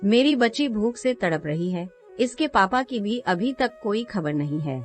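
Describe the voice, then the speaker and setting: A woman acts out an earnest mother bird in a cartoon. Her voice sits at an ordinary female pitch and pleads sincerely, with faint music underneath. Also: lively intonation, a little slow, natural voice. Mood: distressed, pleading. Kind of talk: cartoon character dialogue.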